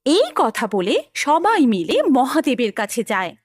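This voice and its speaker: Lady narrating kids story, high voice, big expressive swings, dry sound. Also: measured pace, no echo. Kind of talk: scripted children's story narration.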